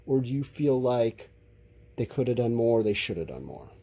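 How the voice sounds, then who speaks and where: A man's voice: mid-range voice, sing-song, normal speed, dark tone. A man teaching a school lesson, talking informally and explaining as he writes out answers, drawing out his words. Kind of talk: instructional lesson, thinking aloud while writing answers.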